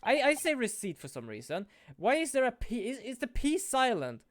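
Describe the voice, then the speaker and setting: A man streaming a game playthrough, animated, swearing and laughing at himself as he reacts. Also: very high voice, moderate pace, slight background noise.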